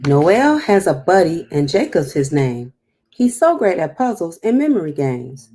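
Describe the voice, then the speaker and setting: A woman's voice: lowish voice, sing-song, unhurried, warm tone, a little hiss or hum. A woman hosting a storytime, reading a picture book aloud with chatty, encouraging, emphatic asides.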